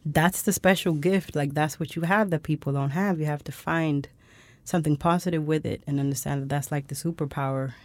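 A young woman from Sweden, a singer-songwriter, talking reflectively in a relaxed interview. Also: low-pitched, brisk, no echo. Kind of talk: conversational interview.

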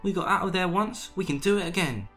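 A man's voice: sits high, quick pace, faint music behind, pitched above the performer's own voice. A man voicing an eager, brave little bug-like boy in a storybook reading. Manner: excited, earnest.

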